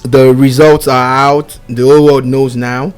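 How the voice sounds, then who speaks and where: A man's voice: mid-range voice, flat delivery, a little slow, smooth, soft background music. A male radio host interviewing two contest finalists, encouraging them and laughing along.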